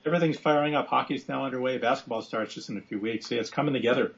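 A man who is a guest author on a podcast, telling his story briskly in casual chat with plenty of fillers. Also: medium pitch, vocal fry. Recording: dull lo-fi audio.

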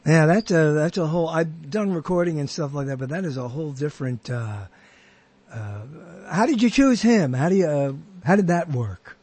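Husky, rambling male podcast host. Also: on the high side, lively intonation, normal speed, a little mellow, no echo. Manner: rambling, many restarts and trailing thoughts. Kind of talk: casual interview chat.

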